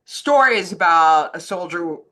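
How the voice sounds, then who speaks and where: Lady co-host w a bright sharp voice, casual movie chat, opinionated and kinda rambly. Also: lowish voice, lively intonation, moderate pace.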